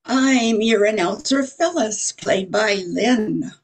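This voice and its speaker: A woman playing a radio announcer, reading scripted old-time commercials with a husky, creaky voice. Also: medium pitch, takes it slowly. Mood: selling. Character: cheerful female radio ad announcer.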